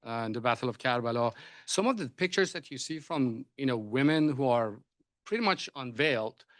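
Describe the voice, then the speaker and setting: A man's voice: medium pitch, expressive, fairly quick, fairly bright tone, no room sound. A man whose first language is Persian, moderating a panel discussion, often hesitating and restarting his sentences. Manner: hesitant, many restarts and fillers.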